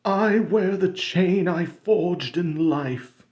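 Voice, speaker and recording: A man voicing the chained ghost of an old miser, with a high, slow, mournful wail, recorded in an echoey room. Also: darkish tone.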